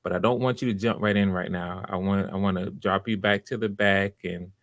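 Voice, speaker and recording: Low-voiced man coaching, dry sound. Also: steady tone, fairly bright tone, dry recording. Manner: halting, restarts phrases often, encouraging. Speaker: audition host and director. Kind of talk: conversational coaching and feedback.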